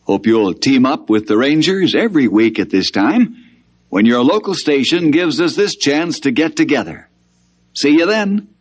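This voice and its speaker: A man's voice: mid-range voice, lively intonation, normal speed, breathy edge. A man playing a friendly, fatherly ranger leader with an instructive manner in an old-time radio adventure. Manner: avuncular, instructive.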